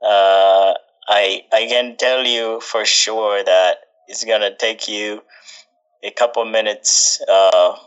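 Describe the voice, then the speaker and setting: Ugandan-born young male guest, deep voice, unhurried, thoughtful and halting. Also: steady tone, fairly bright tone, clear, resonant tone, highs cut off. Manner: thoughtful, with many fillers and restarts. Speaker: guest, social entrepreneur. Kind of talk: interview answers.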